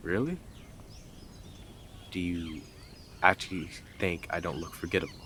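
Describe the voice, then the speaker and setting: A man's voice: deep voice, expressive, unhurried, fairly bright tone, slight background noise. A man playing a blunt, sarcastic, teasing stalker who is devoted to the listener in an intimate roleplay audio drama. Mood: sincere, devoted.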